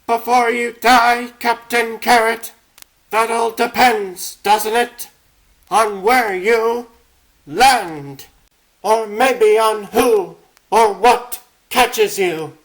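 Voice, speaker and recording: A man playing a teasing, dramatic narrator of a cartoon comic dub, deliberate and arch. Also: extremely high pitch, fairly bright tone, heavily acted. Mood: arch, suspenseful.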